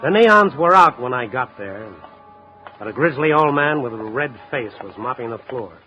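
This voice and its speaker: An actor playing a tough, dogged night-beat newspaper reporter in a 1950s radio drama, narrating and talking in a terse, urgent, hard-boiled way. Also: male voice, mid-range voice, lively intonation, moderate pace, sharp tone, muffled recording, faint music behind. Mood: brooding narration.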